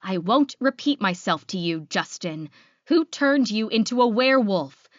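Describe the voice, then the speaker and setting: A woman voicing an angry, proud female werewolf leader, sharp and confrontational, in an audiobook. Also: mid-range voice, lively intonation, unhurried, no room sound, highs cut off. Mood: demanding.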